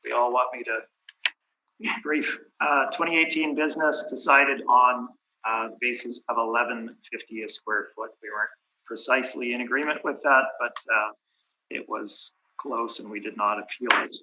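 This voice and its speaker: A man with a hoarse, fading voice walks through figures at a municipal hearing. His tone is very bright and cutting, and he clears his throat often. Behind him is a faint hiss. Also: medium pitch, steady tone, normal speed, rough recording. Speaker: appellant's representative at a property tax hearing. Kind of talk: formal presentation with many fillers. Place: municipal committee room.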